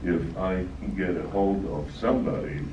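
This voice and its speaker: An older man presenting at a talk, answering questions in a slow, measured way with pauses. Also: low voice, lively intonation, mellow. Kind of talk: Q&A session at a talk. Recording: echoey room from far off, dull and noisy.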